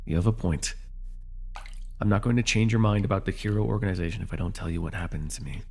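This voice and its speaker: Jaded supervillain with a tender streak, played by a man: deep, husky, intimate ASMR roleplay delivery, soothing yet sardonic. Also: heavily acted. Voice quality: gravelly, husky. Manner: soothing, measured, with wry sarcastic edge. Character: jaded fallen-hero villain, male telepath, protective and reluctantly tender.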